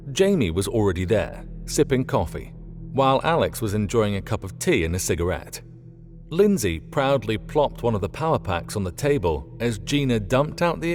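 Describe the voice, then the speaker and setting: A man reading a scripted story as an audiobook narrator, in an expressive storytelling manner. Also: on the low side.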